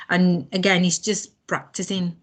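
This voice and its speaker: Guest voice: young woman, unhurried, lowish voice, halting speech full of ums. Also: level tone. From Spain. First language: Spanish. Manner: hesitant, many fillers and restarts. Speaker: language student guest. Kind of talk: casual podcast conversation.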